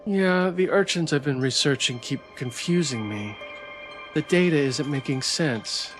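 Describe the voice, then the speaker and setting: A man's voice: on the high side, lively intonation, a little slow, voice pushed up. A man voicing an anxious, weary young woman researcher in a visual novel, hesitant and troubled, over music. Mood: anxious, confiding. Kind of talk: visual novel character reading. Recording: music behind the voice.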